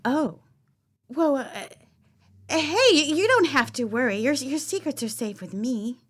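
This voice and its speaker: Woman acting out drunk smitten female fan, higher-pitched, slow delivery, giggly, coaxing, audio play. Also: animated, a bit bright. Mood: hesitant, impressed. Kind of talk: stage readers theater audio play.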